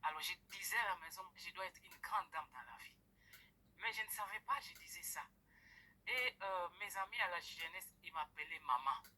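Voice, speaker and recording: A middle-aged woman from the Democratic Republic of the Congo giving a personal testimony to an audience, hesitant, with many pauses and fillers. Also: lowish voice, animated, bright, forceful tone, slight background noise. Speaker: guest speaker. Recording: tinny phone-like recording.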